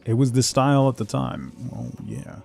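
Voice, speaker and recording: A man's voice: mid-range voice, fairly even intonation, normal speed, gravelly, darkish tone. A man giving casual solo commentary as a game streamer, musing and thinking aloud to himself.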